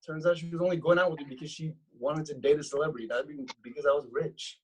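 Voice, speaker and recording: A man's voice: a little high, fairly even intonation, fast talker, close to an everyday voice, poor audio. An actor playing a spoiled, self-absorbed rich young man, dramatic and indignant, in a comedic script read. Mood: bitter, indignant. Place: recorded remotely at home on a video call.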